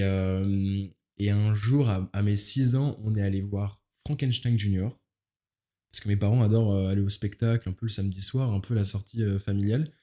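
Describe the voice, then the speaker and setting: A man of nineteen speaks in a lowish, dark and relaxed voice. He talks casually as a guest, using informal slang and feeling his way through his phrases. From Paris, France. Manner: informal, youthful slang, hesitant searching phrasing. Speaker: interview guest, musical theatre performer. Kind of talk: casual interview chat.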